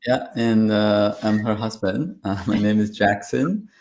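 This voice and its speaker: A man's voice: lowish voice, unhurried, darkish tone. A man from Taiwan, an interview guest, telling his story in a rambling way with many fillers.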